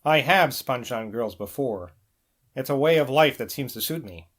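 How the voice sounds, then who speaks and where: A man's voice: mid-range voice, lively intonation, normal speed, a bit bright. A man reading the part of a sly, penniless young rogue in a novel, blunt and insistent in a conspiratorial way. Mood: fierce, malicious.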